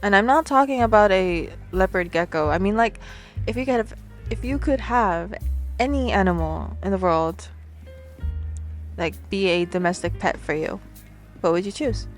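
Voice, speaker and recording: A woman's voice: medium pitch, normal speed, lightly acted. A woman playing a playful, awkward speed dater in an ASMR roleplay, chatting in a natural, rambling, giggly way with music behind her. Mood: playful, chatty. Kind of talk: ASMR roleplay, natural conversational acting to the listener.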